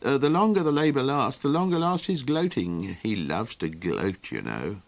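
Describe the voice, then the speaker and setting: A man's voice: mid-range voice, big pitch swings, creaky. A man voicing a fretful, kindly creature who rambles with ums and chuckles in a storybook reading. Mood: musing. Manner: halting, full of ums and chuckles.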